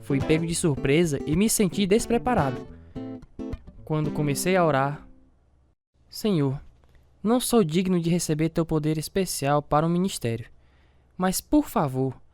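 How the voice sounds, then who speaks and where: A man's voice: a little high, darkish tone, no room sound, natural voice. A man acting as a devout, self-doubting family man, narrating and talking in an earnest, confessional way with a Brazilian accent in a religious radio drama. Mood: humble, solemn.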